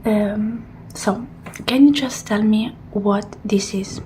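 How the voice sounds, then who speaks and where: A woman doing an ASMR roleplay as a technician, speaking softly and gently in murmured reassurances and instructions, often slipping into a breathy whisper. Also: medium pitch, slow pace, a little hiss or hum, rough recording. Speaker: ASMR roleplayer playing a technician who examines a robot. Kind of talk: ASMR roleplay, soft personal attention.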